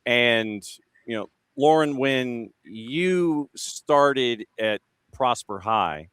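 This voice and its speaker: A man's voice: mid-range voice, animated, drawn-out words, fairly bright tone, smooth, dry recording. An American man hosting a casual sports interview, rambling into his questions in a folksy way. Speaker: sports show host.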